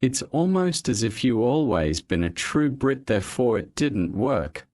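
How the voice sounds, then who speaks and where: A man's voice: medium pitch, very animated, measured pace, a little mellow, dry recording, clean studio sound. A man playing an earnest, concerned cartoon hero in scripted dialogue. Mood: thoughtful.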